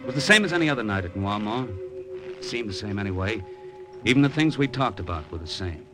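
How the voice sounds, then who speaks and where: An actor playing a cynical American gambler in a vintage radio drama, wry and slangy, with an American accent. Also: male voice, lowish voice, lively intonation, fast, over music, lightly acted. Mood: hard-boiled narration. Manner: wry, slangy, hard-boiled. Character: cynical, broke American gambler abroad, middle-aged. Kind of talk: 1950s radio drama acting and noir narration.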